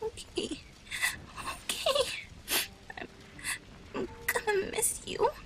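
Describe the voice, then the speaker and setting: A timid young mare in an audio drama. The voice is extremely high and shrill, bright and meek, swinging widely in pitch with raw emotion. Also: female voice, animated, slow pace, slight background noise, full character voice, low-quality recording. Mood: tearful, pleading. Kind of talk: dramatic fan fiction audio acting.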